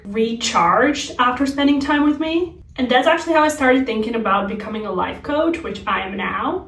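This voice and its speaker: Higher-voiced young Slovak woman, roomy sound. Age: young adult. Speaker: lifestyle vlogger. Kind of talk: casual chat to viewers. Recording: roomy, distant microphone.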